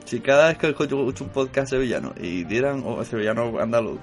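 A man's voice: mid-range voice, fast, soft background music. A man leading a casual podcast roundtable, teasing the others and laughing often.

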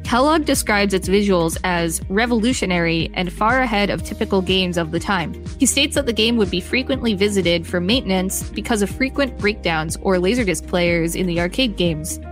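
A woman's voice: mid-range voice, moderate pace. A woman reading an encyclopedia article aloud as a podcast co-host, in scripted narration with brief casual remarks. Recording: faint music behind the voice. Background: faint music.

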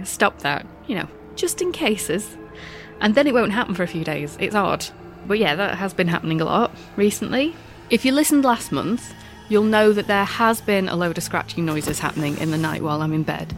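A woman's voice: on the low side, animated, fairly quick, faint music behind. A woman hosts her podcast, telling stories in a wry, self-mocking way, her nose stuffed up from a recent illness. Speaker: podcast host. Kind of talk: scripted podcast monologue.

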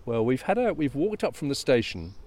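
A man co-hosting a podcast, reading a novel passage aloud in a measured way, then chatting casually. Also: medium pitch, very animated, darkish tone. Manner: measured storyteller, chatty and quick in talk. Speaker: podcast co-host.

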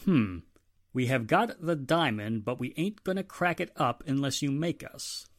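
A man's voice: medium pitch, expressive, measured pace, lightly acted. A man playing an earnest, take-charge police inspector in a 1940s-style radio drama. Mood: grave, firm.

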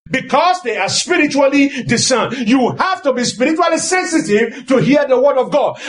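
Guy preaching a sermon, really high voice, swings up and down a lot, emphatic, repeats himself to drive it home. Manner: emphatic, exhorting, often raising his voice; repetitive rhetorical phrasing.

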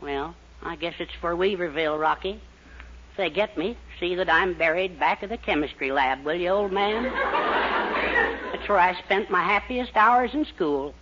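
A man playing a timid, melodramatic high school boy with a plaintive manner in a 1940s radio comedy. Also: normal speed, lightly acted. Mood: plaintive, noble. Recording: noisy, muffled 1940s radio recording.

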